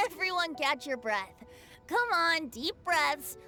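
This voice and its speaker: A woman voicing a bubbly, encouraging, energetic young event host in a video game, peppy and animated. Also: very high voice, extremely slow, heavily acted. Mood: reassuring. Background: game music.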